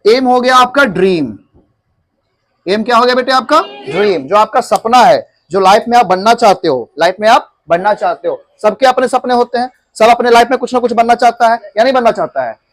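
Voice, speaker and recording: High-voiced teacher drilling English phrases. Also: male voice. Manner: repeats phrases slowly for students to echo. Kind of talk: classroom English lesson in Hindi. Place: classroom.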